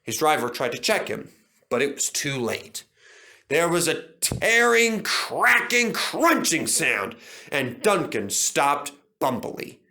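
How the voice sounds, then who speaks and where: Brief: male storybook narrator, lively and bright, pitched a bit high. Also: takes it slowly. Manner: animated, expressive. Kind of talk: storybook reading.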